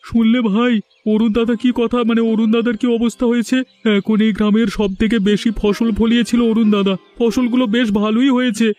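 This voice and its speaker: A man's voice: very high-pitched, level tone, brisk, a little mellow. A man voicing a gossiping, mocking villager in a cartoon, with a scornful way of talking. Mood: gossipy, scornful. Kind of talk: Bengali cartoon dialogue dubbing.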